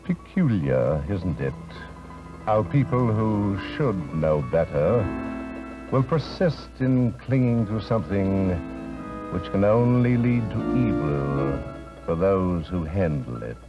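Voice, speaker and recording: A man hosting a 1950s radio horror show, speaking in a slow, sinister, theatrical way. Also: low-pitched, sing-song. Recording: dull old radio recording under organ music.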